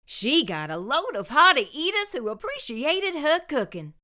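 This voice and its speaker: A woman hosting a story time and reading a picture book aloud, expressive and sing-song. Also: sits high, measured pace. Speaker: storyteller host.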